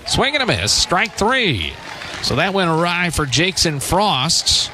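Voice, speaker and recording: A man doing live radio baseball play-by-play in clipped phrases mixed with measured description. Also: sits high, very animated, noisy background. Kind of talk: live radio sports commentary.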